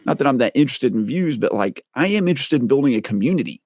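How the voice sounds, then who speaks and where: A middle-aged man with a deep, clear, slightly boomy voice, chatting casually as an interview guest and laughing at himself, on a large studio microphone. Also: animated, rapid-fire, a little mellow, dry recording. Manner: rambling, self-deprecating, laughs at himself. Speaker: interview guest, content creator. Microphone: large-diaphragm studio microphone.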